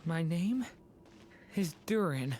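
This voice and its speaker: A woman voicing a frail, bewildered young dragon boy in a video game, speaking in a halting, faltering way. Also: fairly low pitch, expressive, dark tone, a little hiss or hum. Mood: lost, confused. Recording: long hall reverb, music behind.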